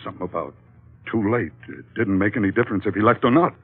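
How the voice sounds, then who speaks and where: A man's voice: lowish voice, animated, quick pace, slightly rough, faint music behind. An actor playing a loyal, uneasy sailor in an old radio drama, speaking plainly and anxiously to his skipper. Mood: uneasy, defensive. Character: uneasy, loyal, rough-and-ready sailor in trouble. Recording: thin, phone-like vintage radio recording.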